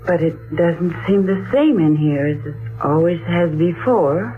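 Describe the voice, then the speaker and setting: An animated American woman hostess in a 1940s radio thriller. She is gracious yet uneasy, with very expressive pitch. The old recording is thin and narrow, with music clearly behind. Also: a little slow. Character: hospitable, uneasy woman hosting New Year's Eve.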